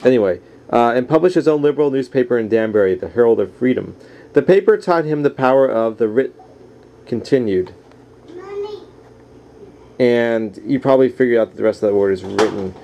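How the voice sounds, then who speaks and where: Male reader, casual and unpolished, mid-pitched, narrating nonfiction with offhand asides on a home camera, faint noise behind. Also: animated, brisk, gravelly, warm tone, highs cut off. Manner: casual, easygoing, digressing into asides. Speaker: author reading own book aloud. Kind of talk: informal read-aloud of a nonfiction book with casual asides. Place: at home, household around. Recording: noisy home camera recording.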